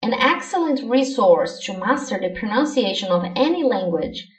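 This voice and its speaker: A Brazilian woman whose first language is Portuguese, hosting her own podcast and giving clear, encouraging language-learning advice from a script. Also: on the high side, sing-song, moderate pace, reverberant, distant mic, rough recording. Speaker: podcast host, language coach. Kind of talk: scripted solo podcast lesson.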